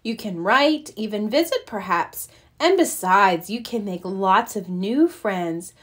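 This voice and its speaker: A woman voicing a gentle, comforting mother bear in a children's storybook, soothing and patient. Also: medium pitch, expressive, takes it slowly. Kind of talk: children's storybook read-aloud.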